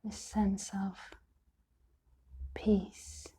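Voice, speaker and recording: A woman leading a guided meditation in a soft, breathy, half-whispered voice, speaking gently and soothingly in short phrases with long pauses. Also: mid-range voice, little pitch movement. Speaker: meditation teacher.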